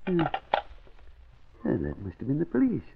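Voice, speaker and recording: A sly small-time crook, speaks in a high, gravelly voice. He gloats and mutters with gleeful cunning in 1940s radio drama style, on a dull, faintly noisy old recording. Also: huge pitch swings, moderate pace, exaggerated voice. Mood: evasive.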